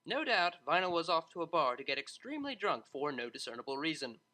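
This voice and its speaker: An expressive storyteller reads scripted fiction with a bright, crisp edge. The pitch sits in a middle register and moves around a lot, keeping the narration animated. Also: a little fast.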